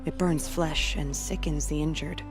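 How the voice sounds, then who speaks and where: Game war leader, voiced by an actress: female, steadfast, stern, weary, low for a woman, music behind. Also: steady tone, normal speed, close to an everyday voice. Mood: grave, resolute. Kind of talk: video game cutscene acting.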